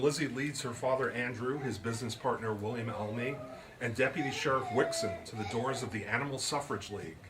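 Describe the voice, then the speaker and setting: A man giving a live reading of his comic mystery novel as storybook narration. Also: mid-range voice. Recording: live reading with clear background noise. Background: clear ambient noise.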